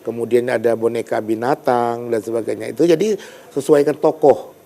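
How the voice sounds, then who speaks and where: A man's voice: medium pitch, steady tone, normal speed, vocal fry, warm tone. A man appearing as a storytelling guest on a talk show, often affirming what others say before he explains his own points. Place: television studio.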